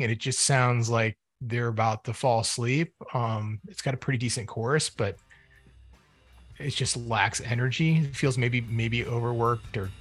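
A man co-hosting a music podcast, giving casual album critique in a measured, thinking-aloud way. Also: medium pitch, fairly bright tone, no echo.

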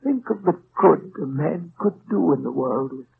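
An actor playing a frail, dying old priest, tender and solemn, speaking haltingly in old radio drama style. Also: male voice, fairly high pitch, animated, gravelly. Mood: urgent, solemn. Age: older. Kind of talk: 1930s-40s radio drama acting. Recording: muffled, noisy old radio recording.

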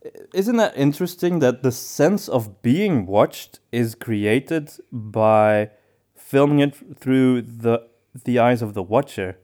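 A man's voice: mid-range voice, expressive, slow, a little mellow. A man co-hosting a podcast, casually discussing film in a reflective, musing way, sometimes repeating words as he thinks. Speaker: podcast co-host.

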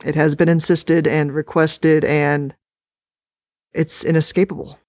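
A woman hosting a podcast and reading a horror story aloud with an expressive delivery. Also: low-pitched, measured pace, no echo, clean studio sound. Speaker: podcast host and story reader.

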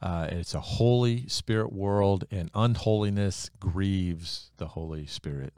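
Very deep-voiced earnest man. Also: a little slow, clean tone, no room sound, studio quality. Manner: earnest storyteller, lively when excited.

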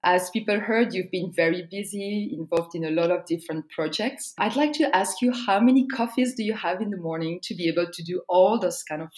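A woman hosting an interview podcast, her voice sitting slightly low. She asks friendly questions and often stumbles over and restarts her phrases. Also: brisk, hardly any pauses, low-quality recording. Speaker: podcast host.

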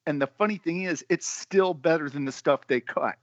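A man's voice: on the high side, moderate pace, dry recording, highs cut off. A man co-hosting a podcast, giving blunt, opinionated film commentary in a casual style. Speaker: podcast co-host.

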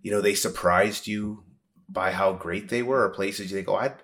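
A man's voice: low-pitched, steady tone, normal speed, fairly bright tone. A man hosting an interview in a casual, conversational style. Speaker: interview host.

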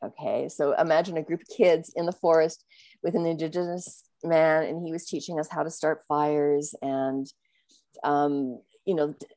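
A woman in casual conversation, recalling her experiences in a reflective, measured way. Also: low-pitched, dark tone, no room sound.

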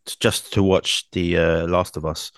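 A young man, co-hosting a casual podcast, rambling with many fillers and restarts, his throat croaky from singing. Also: sits low, fairly even intonation, a little slow, no room sound, studio quality. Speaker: podcast co-host.

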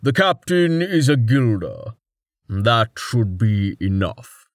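A man voicing a huge armored golem warrior who talks bluntly and flatly in slow, clipped lines. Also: mid-range voice, no room sound, clean studio sound.

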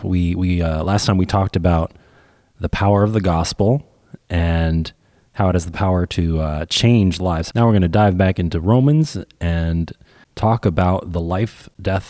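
A man with a cold hosts a faith podcast in a very low, dark voice. The sound is close and dry, and he chats easily with plenty of fillers. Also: normal speed, no echo. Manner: easygoing, rambling with fillers. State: has a cold, voice deeper. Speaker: podcast host. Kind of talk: casual faith discussion.

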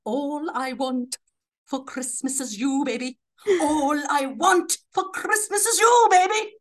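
Woman, podcast co-host, high-pitched, slow, theatrical dramatic reading, exaggerated melodrama. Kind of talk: playful, theatrical dramatic reading of song lyrics.

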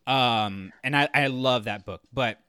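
A man co-hosting a podcast, talking casually about books in a halting, thinking-aloud way. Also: mid-range voice, pushed and bright, smooth, dry recording, clean studio sound. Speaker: podcast co-host reviewing books. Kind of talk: casual discussion and commentary.

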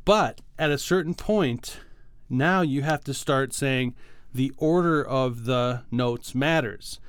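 A man's voice: mid-range voice, takes it slowly, clean tone, no room sound, clean studio sound. A man co-hosting a podcast, casually explaining things in a patient, teacherly way with examples. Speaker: podcast co-host, music arranger and teacher.

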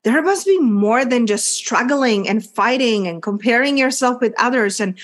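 A woman's voice: a little high, animated, normal speed. A woman with a Czech accent, a coach speaking as an interview guest in an earnest, effusive way with frequent "like" fillers. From Czech Republic. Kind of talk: candid interview chat.

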